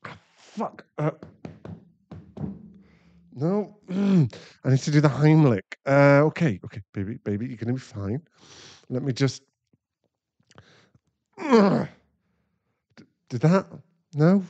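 A man plays a caring young British boyfriend in an intimate audio roleplay. His voice is mid-pitched and mellow, chatty and easily flustered. The sound is very dry and slightly muffled. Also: expressive, takes it slowly, pauses often, dry recording. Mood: panicked, pleading. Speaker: voice actor in an ASMR boyfriend roleplay.

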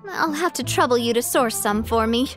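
A woman voicing a young, spirited lady boss in an anime video game, expressive and reassuring. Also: a little high, normal speed, faint music behind, natural voice. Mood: earnest. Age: young adult.

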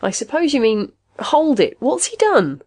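A stubborn, suspicious man in an audiobook story, played by a woman, pitched high and swinging widely. He sounds defiant and protective, always ready to argue. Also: very animated, measured pace, darkish tone, slightly rough, dry recording, studio quality, heavily acted. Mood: wary, defiant. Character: wary, stubborn man, fiercely loyal to his friend.

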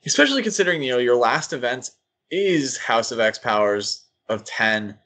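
A man co-hosting a podcast, giving casual critical commentary in a halting, thinking-aloud way. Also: mid-range voice, sing-song, pushed and bright. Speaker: podcast co-host. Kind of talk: casual critical comics discussion.

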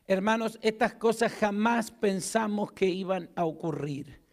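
High-voiced Argentine pastor preaching. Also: male voice, unhurried, vocal fry. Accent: Argentine Spanish. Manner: emphatic preaching, rising loud to call for amens and dropping to a hush. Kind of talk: sermon. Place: church hall with a stage. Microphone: handheld mic at a pulpit, far camera.

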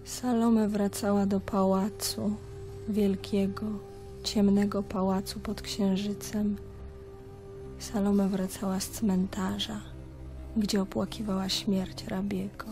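A woman's voice: mid-range voice, little pitch movement, slow, mellow, soft background music. An actress playing a grieving young princess, solemnly chanting her own tale in the third person in a radio drama. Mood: solemn, mournful.

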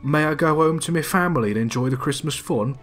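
A man's voice: on the high side, fast, fairly bright tone, faint music behind, voice pushed up, natural voice. A man voicing a meek male clerk in a storybook tale, timid and begging, with a slight stammer. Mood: pleading. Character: meek, pleading male clerk of an old miser.

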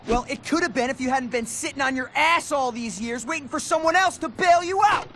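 A man playing a brash, excitable young skater in a video game cutscene. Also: very high voice, fairly quick, a little hiss or hum. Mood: indignant.

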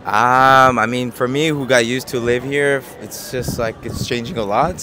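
Soft-spoken young man on a busy street. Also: mid-range voice, fairly even intonation, normal speed. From Japan. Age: 20s. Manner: soft-spoken, hesitant, many fillers, laughs easily. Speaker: interviewee. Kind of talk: casual street interview. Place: busy city square outdoors. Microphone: handheld mic. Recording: busy street crowd behind.

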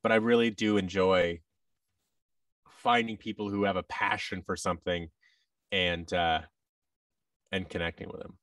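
Low-voiced American man, a little off mic. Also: fairly even intonation, unhurried, pauses often, a bit bright, dry recording, clean studio sound, recorded from a distance. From United States. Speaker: podcast guest, voice actor. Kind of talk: casual interview chat. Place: large indoor room with tables, on a video call. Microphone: boom-arm microphone with headset.

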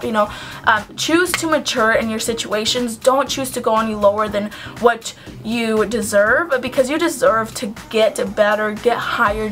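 A chatty young woman talks through her makeup at home, her voice a touch high. She fills her talk with ums and likes and slips into earnest advice. Faint music plays underneath, picked up by a close camera. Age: young adult. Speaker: beauty vlogger. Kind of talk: casual makeup tutorial chat. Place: small home room with shelves, indoors. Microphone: camera at close range.

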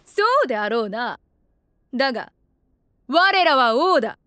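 A woman's voice: on the high side, animated, unhurried, a bit bright, clean tone, no room sound, clean studio sound. An actress voicing a proud, commanding young fantasy queen who speaks imperiously in archaic diction.

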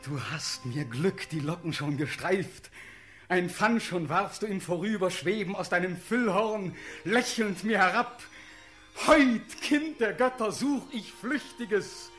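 An actor playing a distracted, ardent young prince in a classical radio drama, with an absent-minded, poetic delivery. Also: male voice, sits high, slow pace, a bit bright, faint music behind. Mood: rapturous.

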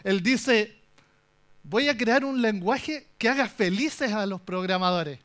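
A young Chilean man with a fairly high voice gives a conference talk from the stage. He jokes his way through the story, lively and playful, with a Chilean accent throughout. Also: slow. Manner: animated, joking storyteller. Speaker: conference speaker. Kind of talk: humorous tech talk.

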